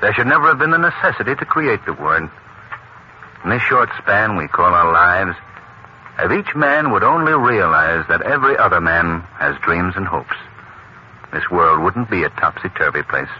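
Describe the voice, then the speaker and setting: An actor playing a confident, kindly gentleman hero, articulate and theatrical in 1940s radio drama style. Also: deep voice, lively intonation, moderate pace. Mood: reflective. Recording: muffled, hissy old radio broadcast.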